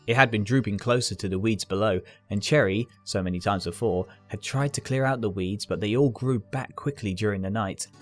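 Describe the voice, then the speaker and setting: Low-pitched man narrating a story. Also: a little fast, few pauses, faint music behind. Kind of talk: scripted fan fiction story narration.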